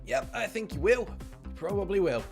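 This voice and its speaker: A man livestreaming a visual novel, switching between expressive reading and chatty, playful commentary. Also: high voice, a little fast, vocal fry. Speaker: game streamer. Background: game music.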